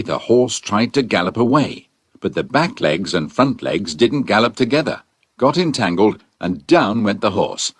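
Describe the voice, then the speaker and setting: A British man narrates a children's adventure from a script. His voice is fairly deep with lively intonation, and the sound is dry and close. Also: dry recording.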